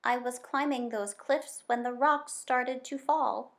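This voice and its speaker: A calm female robot from a children's storybook, read by a woman. She is polite but firm, speaking plainly in a slightly high, measured voice. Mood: calm, matter-of-fact.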